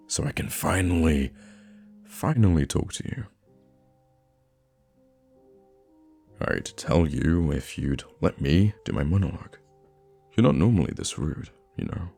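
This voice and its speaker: Man voicing a very deep, gravelly theatrical supervillain. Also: unhurried, warm tone, soft background music, heavily acted. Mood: flustered, exasperated. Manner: dramatic, flustered, teasing, stammering when thrown off. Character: theatrical male supervillain fond of his hero nemesis. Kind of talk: ASMR roleplay monologue.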